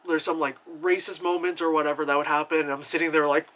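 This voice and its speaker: A young man talks casually through a movie review, rambling and filling gaps with likes and you-knows. His voice sits a little high with a bright, forward edge. He breaks into animated acted reactions. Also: fast. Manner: chatty and animated, many fillers, jumps into high acted reactions.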